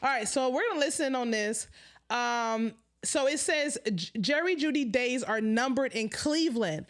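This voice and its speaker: High-voiced animated woman host with a stutter. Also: moderate pace. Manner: animated, laughs often, stutters. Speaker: live-stream host. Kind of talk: casual live-stream chat.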